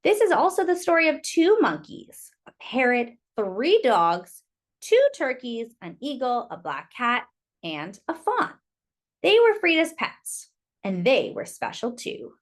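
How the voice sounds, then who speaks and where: High, very lively woman reading to children. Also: unhurried. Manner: expressive, addresses young listeners as friends. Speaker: storyteller reading a picture book to children. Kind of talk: children's read-aloud with short asides.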